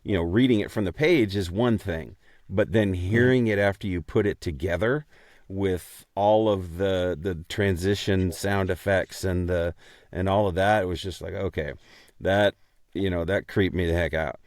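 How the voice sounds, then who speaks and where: A man with a fairly low voice, recorded very dry. He chats casually and jokes around as a podcast co-host. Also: normal speed, darkish tone, dry recording. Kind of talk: casual, jokey chat.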